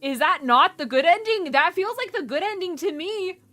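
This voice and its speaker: A woman streaming a game, reading its text aloud and reacting in an animated, exasperated way, with a hoarse voice. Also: very high voice, moderate pace.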